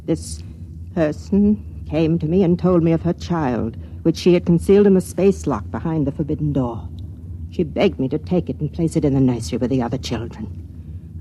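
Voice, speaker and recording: An actress playing an aged, secretive spaceship matron in a vintage radio drama, speaking formally in measured, confiding tones. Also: female voice, low voice, mellow, close to an everyday voice, low-quality recording. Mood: hushed, urgent. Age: older. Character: aged matron of a spaceship colony, guarded, secretive, quietly intense. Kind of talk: old-time radio drama acting. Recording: vintage radio recording with hiss.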